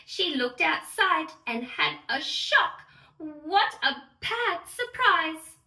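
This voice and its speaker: A sweet young fairy played by a woman tells bedtime stories in a very high, sing-song voice that swoops up and down. She gushes straight to little children, excitable and unhurried. The sound is roomy and a little far from the microphone. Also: full character voice. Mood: storytelling wonder. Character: sweet, excitable young female storytelling fairy who loves bubbles. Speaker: children's storyteller and channel host. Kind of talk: children's bedtime story read-aloud. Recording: roomy echo, distant microphone.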